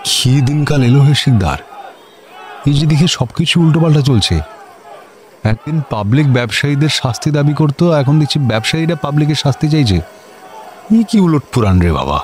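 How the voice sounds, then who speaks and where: A man's voice: fairly high pitch, lively intonation, brisk, a little mellow, natural voice. Guy doing a fussy govt officer in a radio comedy, bossy, easily rattled, bits of english, slight noise behind. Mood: wry, uneasy. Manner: officious, peppers Bengali with English. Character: fretful, self-important district magistrate, easily rattled male official. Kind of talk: Bengali radio comedy acting. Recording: faint background noise.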